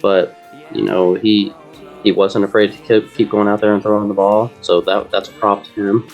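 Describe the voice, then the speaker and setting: A man giving casual game analysis as a panelist, informal and somewhat halting in his phrasing. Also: lowish voice, flat delivery, lo-fi sound, faint music behind. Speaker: sports show panelist.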